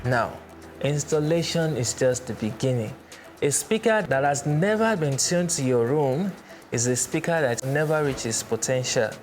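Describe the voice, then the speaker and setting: Man explaining clearly over background music. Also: mid-range voice, expressive, a little slow. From Nigeria. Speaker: presenter, church sound instructor. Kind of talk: explainer with everyday analogies.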